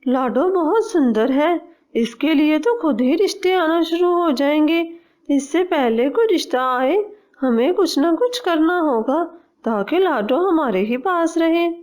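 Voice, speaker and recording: A possessive cartoon mother bird, played by a woman, pitched very high. She is anxious and calculating, and takes her time over each line. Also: animated, measured pace, a little mellow. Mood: scheming.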